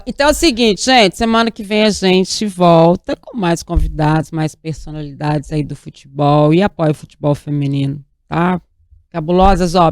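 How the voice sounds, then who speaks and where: A woman's voice: low voice, darkish tone, dry recording, clean studio sound. A woman hosting an interview show, speaking enthusiastically and emphatically, often tagging her sentences with "né?".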